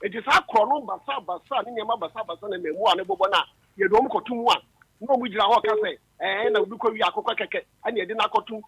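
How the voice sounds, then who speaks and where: A man's voice: sits high, quick pace, fairly bright tone, husky, no room sound, poor audio. A man with a Ghanaian accent calling in to a radio show with a long, rambling explanation. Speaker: caller. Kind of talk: radio phone-in call.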